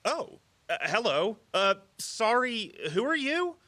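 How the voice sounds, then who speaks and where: Animated, flustered male tour guide. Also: very high-pitched, slow pace, no room sound, heavily acted. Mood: surprised. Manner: flustered, chatty, hesitant. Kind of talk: scripted audio drama dialogue.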